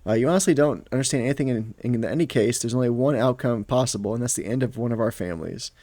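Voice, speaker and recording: A man's voice: medium pitch, fast, dark tone, no echo, natural voice. A man voicing a cold, menacing noblewoman in a fantasy story game, speaking with scornful, imperious manner.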